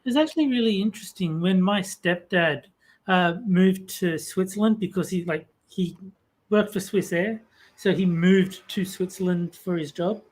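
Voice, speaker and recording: A woman from Australia co-hosting an online talk show, telling stories in a measured, explanatory way. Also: lowish voice, a little mellow. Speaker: podcast co-host. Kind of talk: casual chat.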